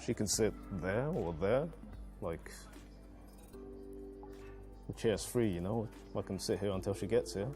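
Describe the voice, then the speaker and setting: A man's voice: fairly low pitch, measured pace, pauses often, natural voice. A man playing a rambling pickup guy. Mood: pushy. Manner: hesitant, rambling, filler-heavy. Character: young man chatting up a stranger in a café. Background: game music.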